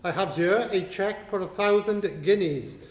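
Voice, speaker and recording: A man's voice: sits high, normal speed, close to an everyday voice. A man playing a besotted, flustered prince and henpecked husband in a comic radio play. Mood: discreet relief. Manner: fawning, flustered. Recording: echoey hall, faint music behind.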